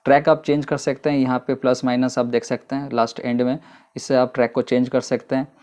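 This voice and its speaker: Flat-voiced man reviewing a gadget. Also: medium pitch. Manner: explanatory, unhurried, many fillers. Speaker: product reviewer. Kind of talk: casual product review vlog.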